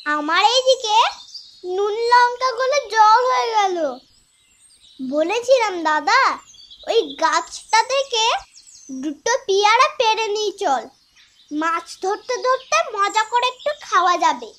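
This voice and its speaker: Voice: very high voice, lively intonation, measured pace, long pauses, bright, forceful tone, full character voice. A performer voicing a bossy little village sister in a cartoon, nagging and teasing. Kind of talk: Bengali cartoon acting.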